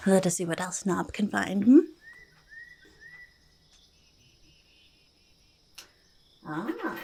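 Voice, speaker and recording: A woman voicing a curious, friendly forest goblin in a soft ASMR roleplay, speaking haltingly in breathy whispers and creaky murmurs, repeating words. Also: fairly low pitch, a little hiss or hum. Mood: pleased, eager. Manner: halting, third-person speech with doubled words. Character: curious, eager, friendly forest goblin who hoards treasure.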